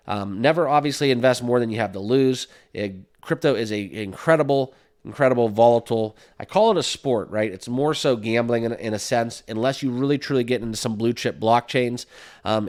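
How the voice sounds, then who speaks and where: Male host with a slight cold, mid-pitched and smooth, upbeat and chatty, full of "right?" and "uh". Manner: upbeat, full of fillers, keeps asking "right?". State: has a cold, sniffling. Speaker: crypto YouTube host. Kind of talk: casual talk to camera.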